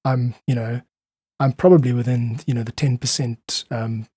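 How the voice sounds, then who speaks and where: Husky South African man in earnest conversation. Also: medium pitch, fairly even intonation, moderate pace, mellow, no echo. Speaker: podcast guest, independent musician. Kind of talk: reflective interview conversation.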